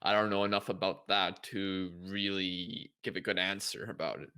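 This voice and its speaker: A man's voice: sits low, flat delivery, moderate pace, pushed and bright, smooth. A man co-hosting a casual football podcast, leading the segments and asking the others for their views. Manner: steers the talk, asks the others. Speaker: co-host.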